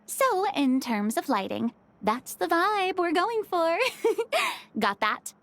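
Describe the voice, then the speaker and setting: A woman playing an excitable, upbeat young film director in a video game, with a bubbly, emphatic delivery. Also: very high voice, slow, no echo, exaggerated voice. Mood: eager, bossy. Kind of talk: animated video game acting.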